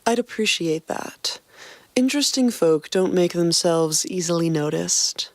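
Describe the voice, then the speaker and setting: A woman voicing a morbid, aloof gothic young woman in a flat, dry deadpan for a fan fiction podcast reading. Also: on the low side, measured pace, no echo, pitched below the performer's own voice.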